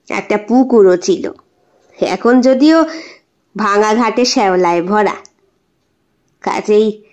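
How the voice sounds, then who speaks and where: A woman voicing a nostalgic old grandmother who reminisces slowly and wistfully in an audio story. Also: a little high. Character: nostalgic old grandmother recalling her ancestral mansion.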